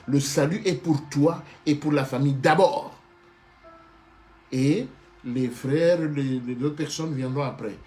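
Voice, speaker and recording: A man's voice: medium pitch, a little mellow. A man preaching a sermon with passion, often stopping to restart and repeat phrases and calling his listeners beloved. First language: not Hebrew. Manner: keeps addressing listeners as beloved.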